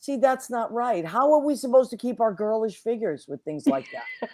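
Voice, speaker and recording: A woman hosting a casual online talk show, whose first language is Italian, chatting and giving friendly shout-outs with plenty of fillers. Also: fairly high pitch, animated, normal speed, dry recording, clean studio sound. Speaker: host. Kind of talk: casual chat.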